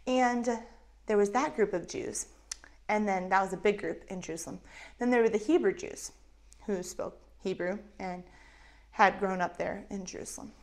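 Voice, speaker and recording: Woman in her 30s, kids' Bible teacher, lively storyteller, medium pitch, lapel mic. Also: normal speed. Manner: animated, acts out voices of characters. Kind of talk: storytelling Bible lesson for children. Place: indoors in front of a map backdrop.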